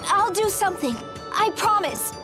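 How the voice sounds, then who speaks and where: A woman playing an earnest, emotional teenage girl with a noble manner in a game, speaking in an expressive, youthful way. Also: very high voice, normal speed. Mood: distressed. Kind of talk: English game dub acting. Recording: game soundtrack music behind the voice. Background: background music.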